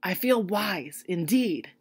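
A woman's voice: medium pitch, animated, moderate pace, husky, pitched above the performer's own voice. A woman voicing a cheerful, eager male scarecrow of straw, earnest and hopeful, in a storybook reading.

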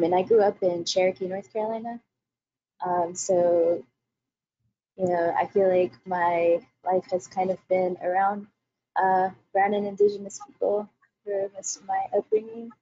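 A woman from Mexico introducing herself on a video panel as a coffee shop owner, in a relaxed storytelling manner. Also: fairly low pitch, a little slow, highs cut off, a little hiss or hum.

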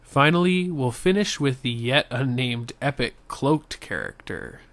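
An amateur voice actor introduces audition pieces informally. The voice is mid-low and unassuming, captured dry without any room sound. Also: a little slow, a bit bright, dry recording.

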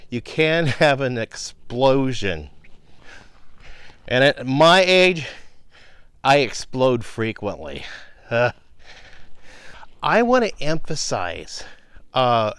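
A man in his fifties explaining things casually to the camera outdoors, in a halting, thinking-aloud way. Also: medium pitch, fairly bright tone, clear, resonant tone, no room sound. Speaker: homestead vlogger. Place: outdoors in a grassy rural yard.

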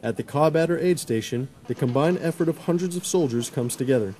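A young adult man reading a scripted military news report in a crisp announcer delivery. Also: fairly high pitch, brisk, mellow.

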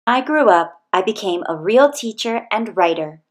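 A woman reading a children's picture book aloud as scripted narration, with expressive, deliberate storytelling delivery. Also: mid-range voice. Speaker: storybook reader.

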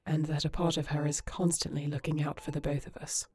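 Casting: actress for calm, authoritative woman with quiet grief, dark breathy voice, formal measured delivery, sci-fi roleplay. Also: low voice. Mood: quiet, wistful. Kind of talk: sci-fi audio roleplay acting.

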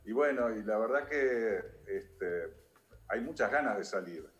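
A man's voice: lowish voice, animated, unhurried, soft background music. An older man with a Uruguayan accent, a restaurant owner speaking remotely as an interview guest, hesitant and full of filler words. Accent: Uruguayan Spanish. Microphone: video call. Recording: echoey video call.

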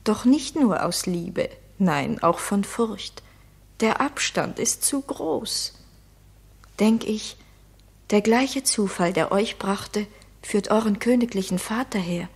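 Husky, modest young shepherdess. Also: female voice, medium pitch, lively intonation, takes it slowly, full character voice. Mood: loving, fearful. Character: modest, anxious young shepherdess in love with a prince. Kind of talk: 1960s German radio drama acting.

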